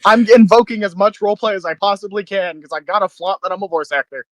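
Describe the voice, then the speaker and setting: A man's voice: high voice, quick pace, no echo, rough recording. A man playing a tabletop roleplaying game, moving between in-character roleplay and casual chat. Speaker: voice actor.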